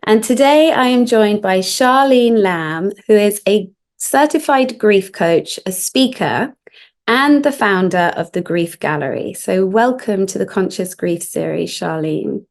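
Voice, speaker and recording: A woman's voice: mid-range voice, a little slow. A woman hosting an interview podcast, welcoming her guest and sometimes searching for words. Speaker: podcast host.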